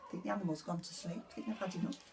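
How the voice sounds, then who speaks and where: A woman's voice: fairly low pitch, steady tone, racing, vocal fry, distant mic, music in the background. A woman reading a picture book expressively to young children, often putting questions to the listener. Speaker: nursery story reader.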